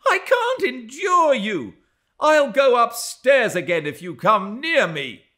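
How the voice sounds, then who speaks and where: A man's voice: very high voice, big pitch swings, slow pace, sharp tone, voice pushed up, full character voice. A man voicing a proud, scornful young lady in an audiobook, with a biting, indignant manner. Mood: disgusted fury. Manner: haughty, disgusted, biting. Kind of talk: dramatised audiobook reading of a classic novel.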